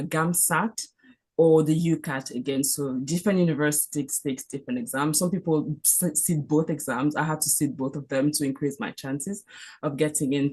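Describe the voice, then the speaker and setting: Low-voiced woman. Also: a little mellow, husky. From Cameroon. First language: French. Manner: earnest, with frequent restarts and repeated words. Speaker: physician on a career panel. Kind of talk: career advice presentation.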